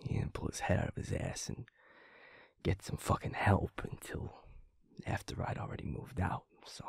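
A man playing a tender, overwhelmed young werewolf boyfriend in an intimate audio roleplay, husky and gravelly, murmuring haltingly to a partner. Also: dry recording, in a whisper, clean studio sound, natural voice. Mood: reflective, vulnerable.

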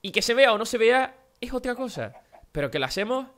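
A Spanish man from Spain talks quickly and brightly over his gameplay. His voice is nasal and a bit wrecked, and he chats straight at his viewers with plenty of energy. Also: extremely high pitch, very animated. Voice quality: nasal, worn-out voice. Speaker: gaming youtuber. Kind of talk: casual gameplay commentary.

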